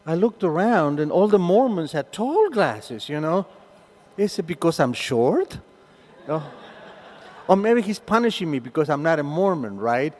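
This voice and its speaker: An older man with a thick Argentine Spanish accent tells his story to a meeting, his pitch sitting a little high and leaping around as he acts out the voices. His voice carries through a reverberant hall, the sound ringing on after each phrase. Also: very animated, measured pace, darkish tone. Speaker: recovery meeting speaker.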